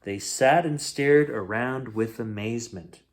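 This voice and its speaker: A man reading a children's picture book aloud as a bedtime story host, in an expressive, dramatic way. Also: mid-range voice, measured pace.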